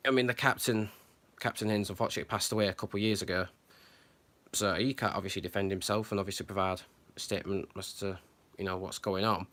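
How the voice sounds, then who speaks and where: Man, lowish voice, hesitant, lots of ums and restarts, earnest concerned commentary. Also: steady tone, fast talker, sharp tone. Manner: hesitant, many fillers and restarts. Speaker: channel host. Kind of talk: unscripted commentary to camera.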